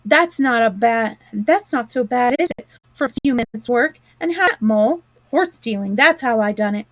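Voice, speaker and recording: Woman voicing a loud boastful toad. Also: high voice, moderate pace, no echo, voice pushed up, close to an everyday voice. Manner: loud and bragging. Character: boastful excitable male toad.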